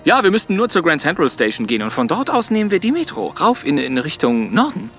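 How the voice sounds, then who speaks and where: An actor playing an anxious, fussy hypochondriac giraffe with a whiny manner in a cartoon radio play. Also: male voice, a little high, big pitch swings, quick pace, creaky, a bit bright, soft background music, full character voice. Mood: fretful. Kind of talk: animated film radio play.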